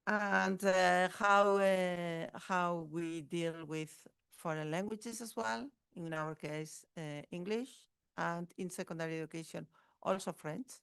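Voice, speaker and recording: A woman's voice: on the low side, fairly even intonation, slow pace, vocal fry, a bit bright, clear, resonant tone, dry recording, clean studio sound. A woman from the Basque Country, a teacher educator, introducing herself on a panel with hesitant restarts. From Basque Country, Spain. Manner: hesitant, restarting words, many fillers.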